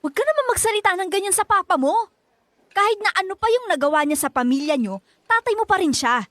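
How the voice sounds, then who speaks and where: A woman's voice: very high-pitched, animated, quick pace, a bit bright, no echo. A woman acting a pleading young peacemaker in a Filipino radio drama, conciliatory in manner. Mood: scolding. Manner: coaxing, conciliatory, hesitant.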